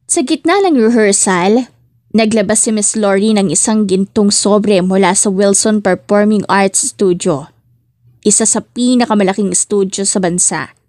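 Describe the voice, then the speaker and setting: A woman narrates a scripted story in the manner of an audiobook. Her pitch sits in the middle for a woman, and the sound is dry, with no room echo. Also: measured pace, a little mellow.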